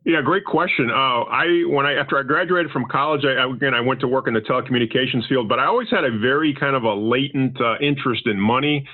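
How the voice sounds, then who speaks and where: A man's voice: mid-range voice, no echo. Man from Panama on a tinny phone line. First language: Spanish. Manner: measured, explanatory, frequent repetitions. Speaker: podcast guest, business consultant. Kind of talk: conversational interview answers.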